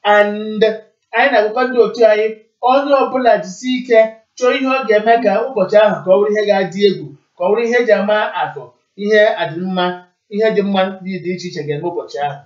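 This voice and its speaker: A man with a Nigerian Igbo accent hosting a live call-in broadcast in a deliberate, formal, declarative manner. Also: sits high. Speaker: radio call-in show host. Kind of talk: live broadcast announcing and answering callers. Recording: echoey, distant, muffled internet radio stream.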